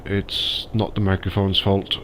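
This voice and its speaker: A man's voice: sits low, flat delivery, a little slow, a bit bright, slight background noise. A man with a slightly stuffy nose from a cold, chatting casually and thinking aloud as he plays a driving game. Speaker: gaming YouTuber. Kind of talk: casual gameplay commentary.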